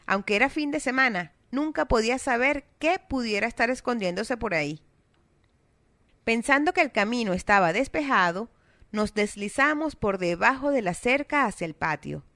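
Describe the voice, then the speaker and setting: A woman's voice: fairly high pitch, normal speed, no echo. Woman narrating a storybook, South American accent. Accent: South American Spanish. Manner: steady, expressive reading.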